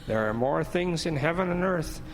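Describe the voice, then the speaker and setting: An actor plays a measured, preacherly minister in a radio drama. His voice is fairly high for a man and gravelly, with wry, solemn provocation. There is clear noise behind him. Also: lively intonation. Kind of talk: scripted audio drama acting.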